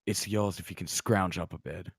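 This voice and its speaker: A man reading the part of a huge paladin knight in a screenplay table read, gruff, terse and commanding. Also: sits low, fairly even intonation, moderate pace, dry recording, clean studio sound, full character voice. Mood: gruff, businesslike.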